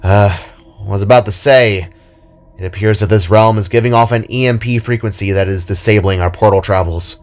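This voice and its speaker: A big, brainy spark creature from a fantasy story, read by a man. He explains things in a measured, upbeat, practical way. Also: on the low side, steady tone, natural voice. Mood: explaining. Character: large analytical spark, upbeat team technician. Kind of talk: dramatic story character reading.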